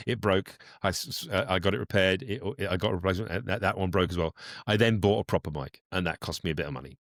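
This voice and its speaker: Older British man, low voice, dry sound. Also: quick pace, fairly bright tone, dry recording. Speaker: voice actor, interview guest. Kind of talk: casual interview talk, explaining practical advice.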